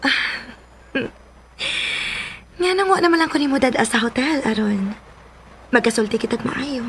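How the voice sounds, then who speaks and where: A woman's voice: high voice, expressive, measured pace, a little hiss or hum. A Filipino actress playing a bitter, sarcastic, lovelorn woman in a radio drama, drawing out her lines with scorn. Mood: defiant, sardonic. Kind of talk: Philippine radio drama acting.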